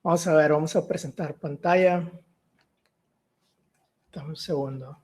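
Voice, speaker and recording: A middle-aged man from Mexico, giving a tech talk on a video call, relaxed and full of "eh" fillers. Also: a little high, measured pace. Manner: relaxed, with many "eh" and "ah" fillers and restarts. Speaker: guest speaker, solution architect. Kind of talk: online tech meetup presentation.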